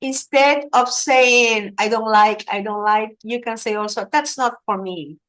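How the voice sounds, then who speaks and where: Woman, English teacher, patient, corrective, fairly high voice. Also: unhurried, fairly bright tone, poor audio. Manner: patient, corrects and prompts the student. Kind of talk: online English lesson.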